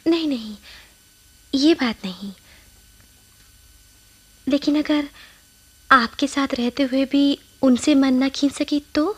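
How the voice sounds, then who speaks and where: A wounded, resolute wife in a radio play. Her voice is high. She speaks with earnest, dramatic feeling. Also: takes it slowly, pauses often. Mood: hesitant, yielding.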